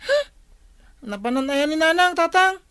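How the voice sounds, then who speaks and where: Woman acting a high-voiced complaining village schoolkid. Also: expressive, normal speed, a bit bright. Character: hungry, complaining school-age child in village household. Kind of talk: Ilocano comedy radio drama acting.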